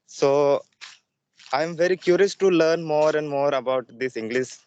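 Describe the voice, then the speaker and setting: A man's voice: a little high, measured pace, a little mellow, clear, resonant tone, dry recording, muffled recording. A young man from Jharkhand whose first language is Santhali, a student practicing English on a video call, speaking hesitantly with many fillers and a polite "ma'am". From Jharkhand, India. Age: young adult.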